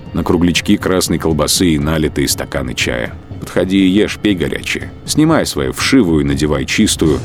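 Low-voiced man narrating a radio drama, slow and grave, soft music behind. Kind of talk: scripted literary narration for a radio play.

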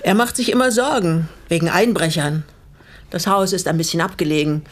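Actress playing a measured, bitterly composed older woman. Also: fairly low pitch, lightly acted. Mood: friendly, brisk. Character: older East German widow, once a political prisoner, bitterly composed. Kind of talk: naturalistic radio drama dialogue.

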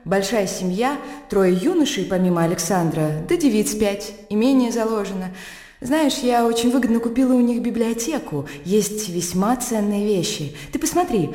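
A woman's voice: mid-range voice, expressive, mellow, natural voice. Actress as a self-assured lady, echoey. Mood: assured, chatty. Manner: brisk, businesslike, assured. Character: practical, self-assured, calculating widowed lady of an estate. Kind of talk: radio drama acting. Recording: echoey room with long reverb.

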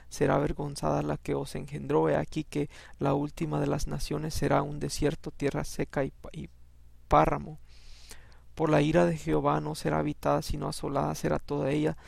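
Gravelly, flat-voiced man reading scripture. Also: on the high side, no room sound. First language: Spanish. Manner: halting, conversational, many restarts. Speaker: podcast Bible teacher. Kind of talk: informal Bible podcast and scripture reading.

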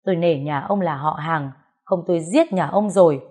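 A woman's voice: low voice, moderate pace, husky. A woman voicing a raging grieving father. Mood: furious. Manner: shouting, furious. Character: enraged grieving father, middle-aged man.

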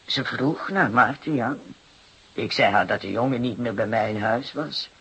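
Brief: worn, aggrieved working-class mother for radio drama, very husky and breathy, plaintive, hesitant delivery. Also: mid-range voice, moderate pace, sharp tone, heavily acted, low-quality recording. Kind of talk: Dutch radio drama acting.

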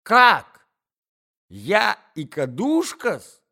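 A man voicing a squealing, indignant middle-aged provincial gentlewoman. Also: on the high side, sing-song, slow pace, smooth, pitched above the performer's own voice, heavily acted. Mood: shrill outrage.

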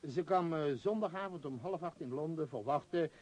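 A man's voice: on the high side, normal speed, a little mellow, lightly acted. A man acting an imperious, absent-minded billionaire tycoon in a radio play, rambling and hesitant in a self-important way. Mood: bossy, distracted. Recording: muffled, dull radio play recording.

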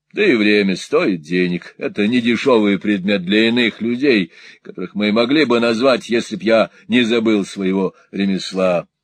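A man's voice: lowish voice, dry recording. A man voicing a cold, terse older male moneylender who speaks in dry, cutting remarks in an audiobook reading.

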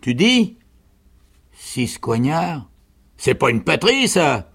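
A man's voice: medium pitch, big pitch swings, moderate pace, creaky, breathy edge, pitched above the performer's own voice, full character voice. A man playing a blunt, insistent sergeant of a revolutionary army who barks questions in a dramatic literary reading. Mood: indignant, insistent.

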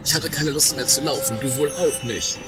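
A performer playing a hammy, lovestruck suitor in an improvised comedy radio play, speaking in a theatrical, drawn-out way. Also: medium pitch, loud music behind the voice, close to an everyday voice. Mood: earnest. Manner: drawn-out, theatrical, mock-romantic.

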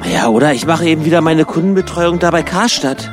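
A man's voice: a little high, animated, fast, over music, natural voice. A man playing a naive, easily indignant welfare recipient in casual, questioning radio play dialogue. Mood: naive, musing.

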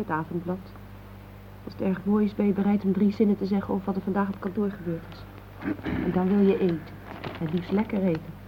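A woman's voice: mid-range voice, lightly acted. An actress playing a neglected, earnest housewife in her forties in a radio play, hesitant yet persistent and plaintive. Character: neglected, earnest, insistent housewife of forty-one. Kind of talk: naturalistic Dutch radio play acting. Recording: muffled radio recording with hum and noise.

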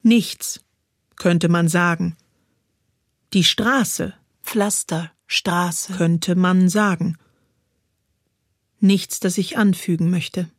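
A woman performing a literary poetry recitation in a measured, deliberate way with dramatic pauses. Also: fairly low pitch, steady tone, dry recording, studio quality. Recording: music behind the voice. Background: music.

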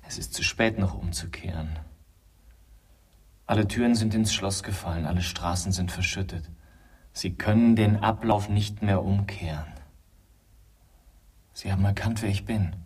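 An eerie, wounded young stranger in a radio play, someone's shadowy double. The voice is low and very husky, often breathy. He speaks solemnly and insistently, set a little back from the microphone. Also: moderate pace, long pauses, darkish tone, distant mic. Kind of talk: German radio drama acting.

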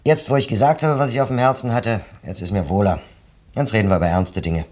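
A man's voice: fairly low pitch, fast talker, a little mellow, close to an everyday voice. An actor playing a teasing male friend who jokes too much, in a radio crime drama. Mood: friendly. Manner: joking, then apologetic.

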